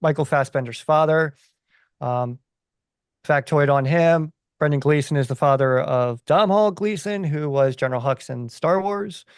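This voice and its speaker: Higher-pitched man chatting on a podcast. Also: moderate pace, smooth, dry recording, studio quality. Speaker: podcast co-host. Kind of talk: casual podcast discussion.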